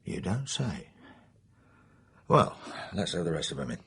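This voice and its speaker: Gruff british copper in a radio play, lowish husky voice, blunt n wry, bit sceptical. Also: male voice, very animated, measured pace, a bit bright, exaggerated voice. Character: gruff, sceptical British male police inspector, good-natured. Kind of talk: radio drama dialogue.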